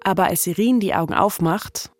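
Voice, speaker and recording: A woman's voice: lowish voice, fairly quick, no room sound, studio quality, faint music behind. A woman hosting a narrative podcast, reading scripted storytelling in a conversational way with short dramatic sentences. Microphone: close studio microphone.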